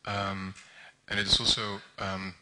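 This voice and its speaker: Hesitant young man, bright tense voice. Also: sits low, completely flat delivery, measured pace, poor audio. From Netherlands. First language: Dutch. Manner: hesitant, many ums and restarts. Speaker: volunteer translator speaking at an event. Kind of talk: informal public talk.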